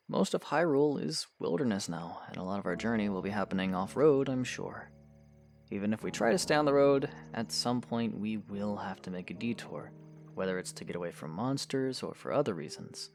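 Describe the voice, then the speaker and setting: A man playing a calm, stoic young swordsman in an audio drama, patient but firm, with measured, plain speech. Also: lowish voice. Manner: measured, plain-spoken, understated. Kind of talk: scripted audio drama acting.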